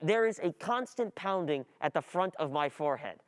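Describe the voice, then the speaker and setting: A young adult performer playing an overwhelmed, distracted student in a halting, agitated radio play monologue. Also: medium pitch, expressive, natural voice. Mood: anxious, overwhelmed.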